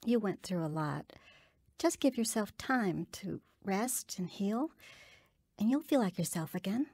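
A woman's voice: medium pitch, animated, measured pace, a little mellow, husky, no echo. An actress playing a pragmatic young woman in an audio drama, reassuring and coaxing her friend. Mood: consoling. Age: young adult.

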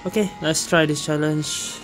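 A woman's voice: deep voice, fairly even intonation, moderate pace. A woman giving casual gameplay commentary, thinking aloud in short reactive phrases. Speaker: video game streamer. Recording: game music behind the voice.

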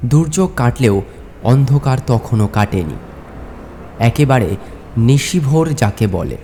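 A man tells a first-person horror tale in a slightly mellow voice. He speaks slowly and dramatically, in short suspenseful phrases. Faint music plays behind him. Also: medium pitch, sing-song. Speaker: audio story narrator.

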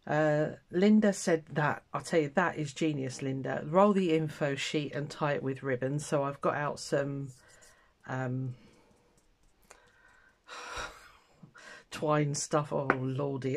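A woman's voice: sits low, a little mellow. An older woman with a cold and a stuffy nose, chatting casually to her viewers while she packs sewing kits at a table. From England. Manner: chatty, self-deprecating, talks while working with her hands. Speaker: craft channel host. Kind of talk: casual chat while crafting. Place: indoors at a table, hands-only overhead camera.

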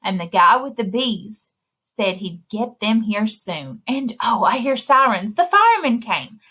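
A woman in her thirties reading a picture book aloud to children in an expressive, exclaiming way, speaking directly to her young listeners, with a slightly husky voice. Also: medium pitch, measured pace. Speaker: children's librarian and story time reader. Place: indoor room, recorded screen share with webcam.

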